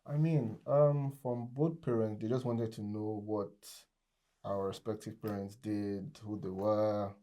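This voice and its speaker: A young man from Nigeria, a podcast guest, answering in a measured, casual way with frequent "I mean". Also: lowish voice, fairly even intonation, warm tone. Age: young adult. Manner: measured, says "I mean" often. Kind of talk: casual interview chat.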